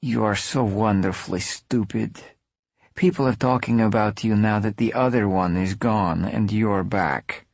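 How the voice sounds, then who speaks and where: A man voicing a breathy, teasing Czech starlet. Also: fairly low pitch, measured pace, no room sound, pitched above the performer's own voice. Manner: playful, teasing. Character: flirtatious, teasing European woman, zombie hunter and starlet.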